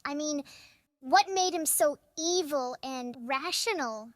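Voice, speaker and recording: A woman's voice: high voice, slow pace, no room sound. A woman voicing an innocent, curious little boy in an audio drama, asking naive questions. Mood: innocent curiosity. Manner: wide-eyed, naive questioning.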